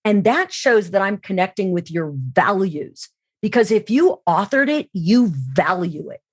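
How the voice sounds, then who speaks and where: A woman's voice: medium pitch, expressive, a little slow, husky, dry recording, studio quality. A woman speaking as an expert interview guest, explaining her points conversationally with emphasis on key words. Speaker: podcast guest, sales trainer and keynote speaker.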